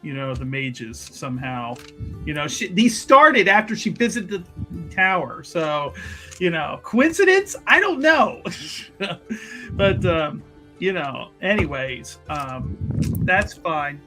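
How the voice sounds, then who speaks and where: A man's voice: fairly high pitch, lively intonation, takes it slowly, bright, forceful tone, faint music behind. A man playing a tabletop roleplaying game, narrating a character casually in a wry, discursive way.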